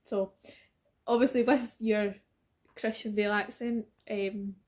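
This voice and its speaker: A woman's voice: mid-range voice, fairly even intonation, a little slow, a little mellow. A Scottish woman in her thirties co-hosting a film podcast in casual chat, teasing and laughing often. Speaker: podcast co-host.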